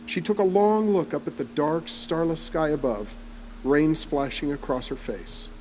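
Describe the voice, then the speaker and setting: A man's voice: a little high, a little slow, darkish tone, a little hiss or hum, poor audio. A man reading scripted, descriptive story narration for an audio drama, like a storyteller. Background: music.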